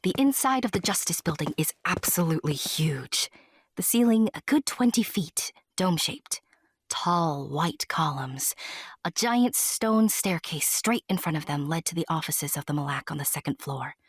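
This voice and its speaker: A woman's voice: low-pitched, lively intonation, normal speed, slightly rough, no echo, studio quality. A woman narrating a scripted fantasy audio drama like a storybook, with chatty asides to the listener. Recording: loud music under the narration. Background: music.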